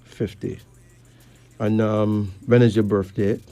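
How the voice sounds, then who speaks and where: A male late-night radio host from Barbados speaks with a Caribbean accent over faint music. His delivery is slow and deliberate, with pauses between phrases, as he talks to listeners and callers. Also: on the low side, level tone, dark tone, smooth, dry recording.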